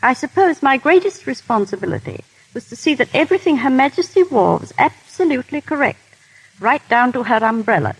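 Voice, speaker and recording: A woman's voice: sits high, big pitch swings, brisk, slightly rough, rough recording. A woman guest telling genteel, formal anecdotes in a scripted 1930s radio interview. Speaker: guest technical advisor and former royal dresser. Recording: vintage 1930s radio broadcast.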